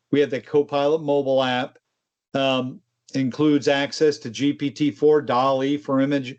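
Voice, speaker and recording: A man with a somewhat high-set voice co-hosts a casual tech discussion. He explains things conversationally and drops in informal asides. The sound is a little dull and lo-fi. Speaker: co-host of a tech discussion show.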